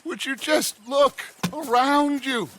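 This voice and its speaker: An actor playing a charismatic, commanding middle-aged outlaw leader in a video game, with an American accent and a theatrical, speechifying manner. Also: male voice, very high voice, fairly even intonation, slow, a bit bright, slight background noise, exaggerated voice. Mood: grand, reassuring. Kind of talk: dramatic video game acting, grand oratory.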